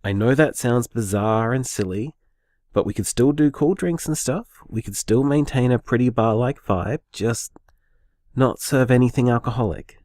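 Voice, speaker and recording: A man's voice: mid-range voice, normal speed, a little mellow, dry recording, studio quality, close to an everyday voice. A man playing an anxious, affectionate boyfriend in an audio drama, speaking in a hesitant, earnest, informal way. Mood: tentative. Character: anxious, affectionate, conscientious boyfriend planning a bar. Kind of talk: naturalistic audio drama monologue.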